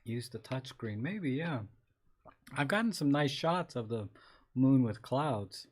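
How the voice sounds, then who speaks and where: A man hosting a casual live-streamed photography Q&A, reading viewer comments aloud and answering in a rambling, relaxed way with many restarts. His voice is a little scratchy today. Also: mid-range voice, slow. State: slightly scratchy voice.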